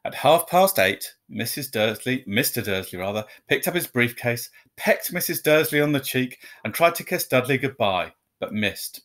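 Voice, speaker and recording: A man with a medium-pitched voice reads a storybook aloud for young listeners. His delivery is expressive and measured, leaning on key words for dramatic emphasis. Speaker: storyteller reading aloud to students. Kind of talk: expressive children's storybook reading.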